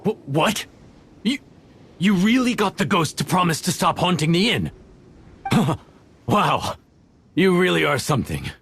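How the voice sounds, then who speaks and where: A man playing a friendly, grateful, excitable young inn cook in a video game. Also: a little high, unhurried, long pauses, a little hiss or hum, heavily acted. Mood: amazed, grateful. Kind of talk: video game cutscene acting.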